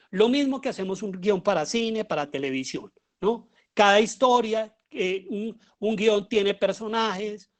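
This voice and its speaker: A man with a Colombian accent giving an explanatory lecture as a teacher, often pausing and posing rhetorical questions. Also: high-pitched. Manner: halting, with pauses and rhetorical questions. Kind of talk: explanatory lecture on writing audio fiction.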